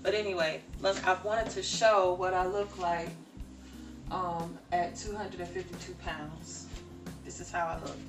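A woman's voice: on the low side, little pitch movement, measured pace, over music. A woman in her forties talking casually to the camera in her home kitchen, emphatic and repeating words for stress. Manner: emphatic, repeats for stress, talks directly to viewers. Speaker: vlogger. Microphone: camera or phone in front of her.

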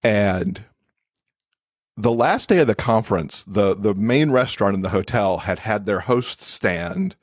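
A man's voice: fairly low pitch, animated, a little slow, no echo, studio quality. A man being interviewed as an expert guest, explaining things conversationally with everyday examples. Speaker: podcast guest, accessibility expert and author.

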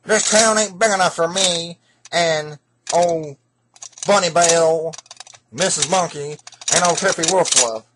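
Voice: medium pitch, a little slow, lightly acted. Someone doing a swaggering cartoon cowboy villain. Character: blustering cartoon cowboy villain, male, gunslinger threats. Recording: noisy home recording with clicks.

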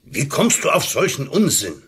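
A man's voice: medium pitch, huge pitch swings, normal speed, a bit bright, slightly rough, exaggerated voice. A man playing a worried old doctor, earnest and hesitant, in a radio drama. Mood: grave, alarmed. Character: worried old male doctor, loyal, flustered school friend. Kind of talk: German-language radio drama acting.

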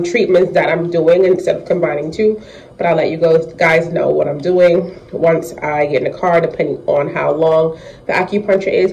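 A young woman vlogging casually into a phone at arm's length, animated and exasperated, swearing freely, first in a room at home and then sitting in a car. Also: deep voice, husky, poor audio. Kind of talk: casual vlog chat and rant. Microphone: phone camera at arm's length.

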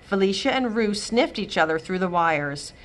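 A woman reading a children's chapter book aloud, giving the characters expressive, lively voices. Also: mid-range voice, normal speed. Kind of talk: storybook read-aloud. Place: library, indoors.

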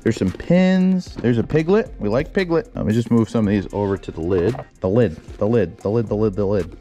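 A young man, a storage unit vlogger, chatting excitedly as he digs through boxes in an indoor storage unit on a head-mounted camera mic. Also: fairly low pitch, a little fast, highs cut off, soft background music. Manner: excitable, keeps exclaiming wow and singing snatches. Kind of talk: excited casual narration while unboxing.

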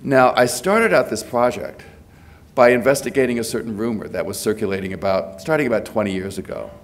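A low-pitched man in his sixties, congested from a cold, delivers an academic address at a podium microphone. He speaks with frequent fillers and restarts. The sound carries the feel of a large hotel ballroom. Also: lively intonation, a little fast. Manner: hesitant, many fillers and restarts. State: has a cold. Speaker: lecturer giving a presidential address. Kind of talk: academic lecture.

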